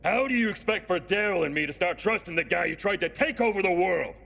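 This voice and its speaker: A man playing a brash, suspicious young sidekick in a video game, raspy and sarcastically indignant. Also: sits high, lively intonation, fast talker, fairly bright tone, full character voice. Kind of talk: scripted video game acting.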